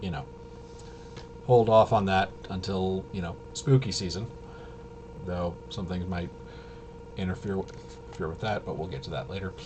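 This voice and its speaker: A man chatting casually as a livestream host, halting, often interrupting himself and trailing off. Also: sits low, noisy background. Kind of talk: casual rambling livestream chat.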